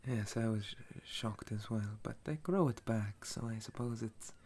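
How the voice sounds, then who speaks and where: A man voicing a gentle, centuries-old sun elf and retired soldier in an intimate ASMR roleplay, soft and breathy, often slipping into a whisper, with a hesitant storytelling manner. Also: lowish voice. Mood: amused, relaxed. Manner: slow, hesitant, softly chuckling storyteller.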